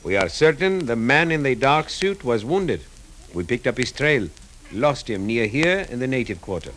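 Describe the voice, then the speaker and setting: An actor playing a polite, formal Egyptian policeman in a 1950s radio drama, speaking in a measured, courteous way. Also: medium pitch, lively intonation, a bit bright, lightly acted. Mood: courteous, official. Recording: old radio recording, faint noise, clicks.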